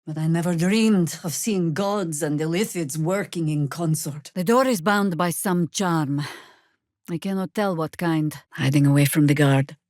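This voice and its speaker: World-weary older druid warrior, lowish pitch, unhurried, dry sardonic wit, commanding, close dry game audio. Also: female voice, darkish tone, no room sound. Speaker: voice actor in a video game. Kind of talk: scripted video game character lines.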